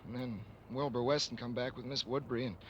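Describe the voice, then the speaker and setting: A man acts out a naive young American racetrack hand in an old radio drama. He confides in a rueful, folksy, rambling way at a middling pitch. Clear noise and vehicle sound sit over the old recording. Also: fairly even intonation, quick pace, lo-fi sound, lightly acted. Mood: confiding, rueful. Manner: colloquial, folksy, rambling first-person confiding.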